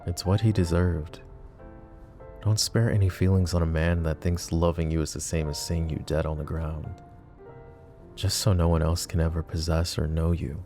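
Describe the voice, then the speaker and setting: Man acting a deep, hushed, possessive mafia boss. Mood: soothing. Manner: hushed, deliberate, tender, often dropping to whispers. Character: possessive, protective male mafia boss, smooth menacing devotion. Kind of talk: intimate ASMR roleplay acting.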